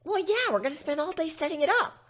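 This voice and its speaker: A man voicing cheeky, excitable little pony schoolgirls in a dramatic story reading, eager and exclaiming. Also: extremely high pitch, fast, voice pushed up. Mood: excited, rushed.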